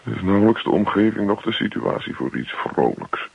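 An actor playing a grumpy, self-pitying husband in a radio play, muttering to himself in a hesitant, put-upon way. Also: male voice, on the low side, very animated, a little fast, creaky, husky, heavily acted. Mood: weary, self-pitying. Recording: dull lo-fi radio play recording.

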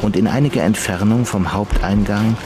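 Low-voiced male crime drama narrator. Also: normal speed, noisy background.

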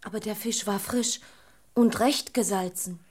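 A woman's voice: mid-range voice, measured pace, a little mellow, no room sound, clean studio sound, natural voice. An actress playing a timid, fearful young fisherman's wife in a radio play, with a pleading manner. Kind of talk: German radio play acting.

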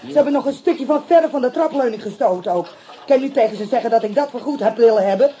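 A woman's voice: a little high, expressive, quick pace, dark tone, muffled recording, slight background noise, natural voice. An actress playing a chatty, flustered and indignant landlady in a radio play, garrulous and plaintive. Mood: indignant, nervous.

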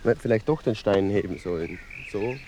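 A man playing an insistent, excitable field experimenter in a naturalistic radio play, talking in repetitive fragments. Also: on the low side, a little fast, noisy background, close to an everyday voice. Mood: impatient. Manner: agitated, repetitive, muttering in fragments.

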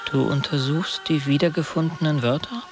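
An actor playing a searching stranger who puts short, probing questions in a poetic radio drama. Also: male voice, fairly high pitch, fairly even intonation, normal speed, music in the background, lightly acted. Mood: searching, earnest. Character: searching male stranger questioning a guardian at a threshold.

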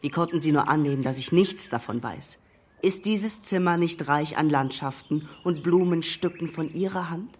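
An actor playing a gallant young gentleman suitor in a period radio drama, effusive, flustered and eager to flatter. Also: a little high, moderate pace, husky. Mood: pleading, flattering. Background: prominent music.

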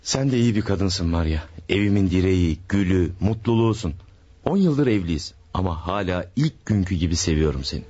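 An actor playing Jose, a middle-aged mountain husband, in a classic radio play. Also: male voice, low-pitched, expressive, fairly quick, breathy edge. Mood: tender. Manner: tender, then shouting over a blizzard. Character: Jose, a hardy mountain husband driving a cart. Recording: old radio broadcast with hiss.

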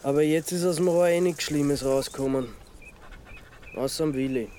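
An actor playing a wry, resigned young amateur sleuth with an Austrian accent in a naturalistic radio drama. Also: male voice, fairly high pitch, moderate pace, warm tone, slight background noise, natural voice. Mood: puzzled, wry.

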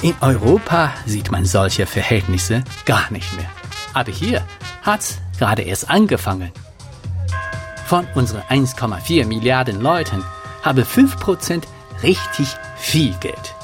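A man's voice: mid-range voice, very animated, a little slow, music in the background. A man playing a proud, confident Chinese manager in a documentary-style radio drama monologue. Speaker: business manager.

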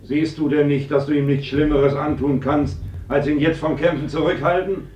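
A man playing a sober, persuasive peasant uncle in a classic radio play, speaking in a measured, reasoning way. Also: fairly high pitch, fairly even intonation, a little mellow, lightly acted. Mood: earnest, persuading. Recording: dull, noisy, echoey old recording, distant voice.

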